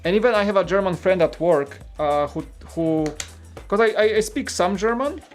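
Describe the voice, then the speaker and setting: Relaxed Polish-accented man, faint music. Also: high-pitched, normal speed. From Poland. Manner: rambling and relaxed, with many fillers and restarts. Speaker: live stream host. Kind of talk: casual live-stream chat, reading viewer comments.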